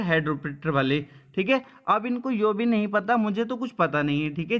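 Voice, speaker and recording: Male coaching teacher, high-pitched voice, informal, blunt, colloquial, frequent check-in tags. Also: fairly bright tone. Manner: repeatedly checks understanding with short tags. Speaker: coaching teacher giving exam updates. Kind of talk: informal exam-update talk to students.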